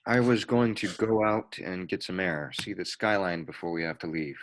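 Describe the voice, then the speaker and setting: A man acting a mild, courteous reverend in a play readthrough, polite and unhurried. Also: deep voice, a little hiss or hum, natural voice. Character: mild, courteous male reverend chaperoning a trip. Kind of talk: play readthrough, dramatic dialogue.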